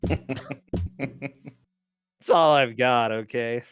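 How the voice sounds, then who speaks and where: A man's voice: mid-range voice, drawn-out words, noisy background. A man chatting casually and playfully as co-commentator on a video game, joking along.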